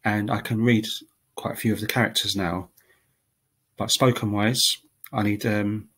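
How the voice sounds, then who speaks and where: A man talking casually to camera as a language-learning vlogger, chatty and relaxed, with frequent ums and restarts as he works through numbered points. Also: fairly low pitch, moderate pace, husky.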